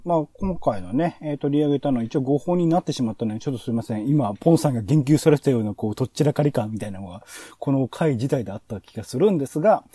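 A man's voice: mid-range voice, expressive, fast, hardly any pauses, mellow, breathy edge, dry recording. A man hosting a podcast in casual review chat, chatty and thinking aloud as he goes. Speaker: podcast host.

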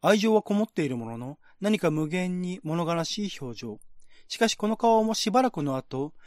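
A man reading a screenplay aloud as scripted narration in radio-drama style, with a measured, descriptive manner. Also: high-pitched, sing-song, a little mellow, no echo, studio quality. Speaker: narrator, reader.

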